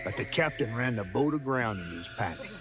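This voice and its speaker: An actor playing a folksy, grave Southern storyteller of swamp legends in a video game, with music underneath. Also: male voice, medium pitch, expressive, fairly quick, lightly acted. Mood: grim.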